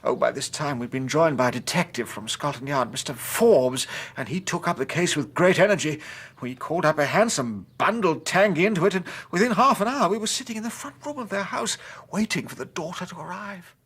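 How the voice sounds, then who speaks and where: An actor playing an agitated young gentleman clerk with an English accent, hesitant, polite and excitable, in a radio drama. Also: a little high, brisk, husky, exaggerated voice. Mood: earnest recounting.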